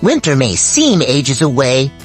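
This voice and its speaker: A woman's voice: rumbling low, very animated, measured pace, soft background music, voice pushed down, exaggerated voice. A woman voicing a hardworking, kindly male ant in a children's storybook, speaking with earnest, effortful emphasis. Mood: stern warning. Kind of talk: animated children's storybook read-aloud.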